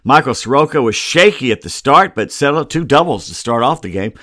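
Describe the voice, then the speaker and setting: A man's voice: medium pitch, lively intonation, brisk, pushed and bright, dry recording, clean studio sound. An American man hosting a solo sports show in a casual, grumbling and wry way, with rambling asides. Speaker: sports podcast host. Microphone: close computer microphone.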